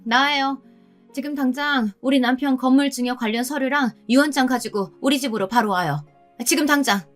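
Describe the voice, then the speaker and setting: Scheming mother, middle-aged, for story drama female narrator acting it. Raised pitch, theatrical, wheedling and imperious. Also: fairly quick, faint music behind, natural voice. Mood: urgent, commanding. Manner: theatrical, whiny coaxing turning to haughty commands.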